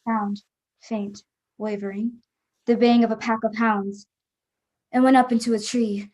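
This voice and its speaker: A woman acting a hunted, defiant adventurer in a radio play. The voice is fairly high and keeps to a narrow range, telling the tale in dramatic, suspenseful first person. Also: flat delivery, measured pace, darkish tone, natural voice. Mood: tense, desperate. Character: hunted adventurer narrating her escape, tense and defiant.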